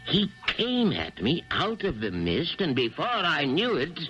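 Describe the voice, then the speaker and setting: A pompous, self-important old general from a radio drama. He blusters and hems at an unhurried pace in a fairly high male register, on a dull vintage broadcast with music underneath. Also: wildly swooping pitch, fairly bright tone, full character voice. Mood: rueful confiding. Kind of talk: 1970s radio drama acting.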